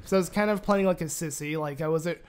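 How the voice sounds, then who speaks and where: Raspy-throated man narrating gameplay. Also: a little high, fast, slight background noise. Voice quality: raspy, voice cracking. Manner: rambling, lots of "like" and "uh". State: hoarse from a sore throat. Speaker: Let's Play commentator. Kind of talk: casual gameplay commentary.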